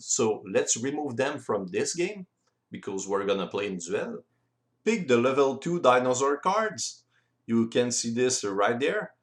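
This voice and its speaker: A man with a French accent, a native French speaker, explaining board game rules in careful, deliberate non-native English as an instructional voice-over. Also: mid-range voice.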